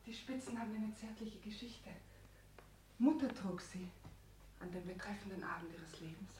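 A woman acting a dreamy young bride in an old radio play. She speaks at a medium pitch, tenderly and intimately, often slipping into a breathy hush. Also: normal speed, a little hiss or hum, lightly acted. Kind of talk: 1960s German radio drama acting.

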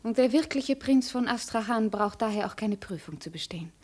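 Proud young princess in old 50s radio play, highish voice, cool and imperious, quietly intense. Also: female voice, animated. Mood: dry irony. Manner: measured, imperious, quietly intense, dropping to whispers. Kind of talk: 1950s German radio drama acting.

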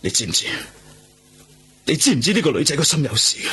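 An actor playing an obsessed, brooding police detective in a radio drama. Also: male voice, on the high side, big pitch swings, quick pace, a bit bright, slight background noise. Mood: desperate, angry. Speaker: lead actor and narrator. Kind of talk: radio drama narration and dialogue. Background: dramatic background music.

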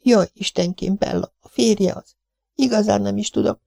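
A man's voice: fairly high pitch, expressive, gravelly, darkish tone, dry recording, studio quality, voice pushed up. A man voicing a gushing, fussy middle-aged spinster in a fluttery, breathless voice. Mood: flustered whisper.

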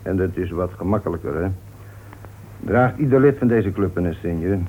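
A male police inspector in an old radio play. His low voice is composed and polite, yet he keeps insisting. The recording is thin, narrow and slightly noisy. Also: expressive. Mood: calm, firm. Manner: courteous, unhurried questioning. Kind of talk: Dutch radio play acting.

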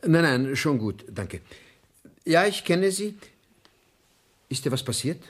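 An actor playing a nervous, evasive schoolteacher with a husky voice and a hesitant, guarded manner in a German radio crime drama. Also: male voice, fairly high pitch, very animated, takes it slowly, exaggerated voice.

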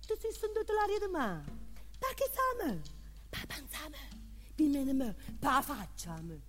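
An actress playing a woman pleading with and teasing her beloved in a slow, repetitive theatrical monologue. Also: high-pitched, big pitch swings, a bit bright, exaggerated voice. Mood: tender, mocking. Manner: slow, drawn-out, repetitive, insistent delivery. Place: theatre hall, live stage performance. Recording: long hall reverb, live music behind.

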